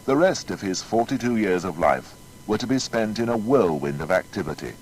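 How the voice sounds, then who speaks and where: A man's voice: on the low side, expressive, moderate pace, a little mellow, slight background noise. A man narrating a scripted biography with emphatic, rhetorical delivery.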